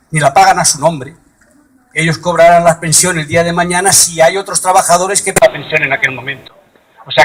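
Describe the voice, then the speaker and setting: Husky man in his seventies, emphatic. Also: a little high, low-quality recording. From Madrid, Spain. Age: 70s. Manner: emphatic, builds to forceful repeated points; frequent restarts and stumbles. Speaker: speaker at a public meeting on pensions. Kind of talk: impassioned public speech to retirees.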